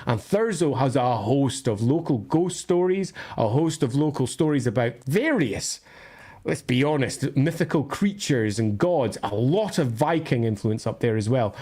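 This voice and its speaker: A man's voice: medium pitch, animated, unhurried, dark tone. A Scottish man hosting a casual livestream, thinking aloud as he answers questions in a discursive, opinionated way with colloquial asides. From northern Scotland.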